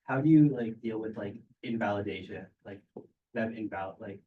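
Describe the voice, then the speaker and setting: A man's voice: lowish voice, normal speed. A man in the audience asking a question casually and hesitantly, often filling in with "like". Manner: peppers speech with "like". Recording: distant, muffled, faintly noisy pickup.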